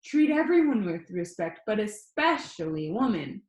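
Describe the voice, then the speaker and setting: A woman voicing a calm, firm old grandfather in a storybook, in a measured, steady manner. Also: mid-range voice, natural voice. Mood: gently stern. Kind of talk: storybook read-aloud. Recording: echoey room.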